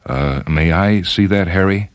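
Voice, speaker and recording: A man playing a proud, dismissive male scientist in a story reading, with a formal, self-assured and measured manner. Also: sits low, no echo, pitched above the performer's own voice. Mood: controlled.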